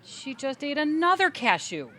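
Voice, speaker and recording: An actress playing a patient, puzzled older sister in a comedic audio play, questioning with emphatic disbelief. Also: female voice, high-pitched, big pitch swings, normal speed, bright, forceful tone, heavily acted. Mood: bewildered, humoring. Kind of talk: comedic audio theater dialogue.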